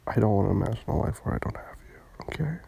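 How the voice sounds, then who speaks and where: A person playing a tender, protective romantic partner in an intimate comfort roleplay, speaking in hushed, soothing, breathy murmurs. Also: mid-range voice, expressive, fast talker, in a whisper, heavily acted. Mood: tender reassurance.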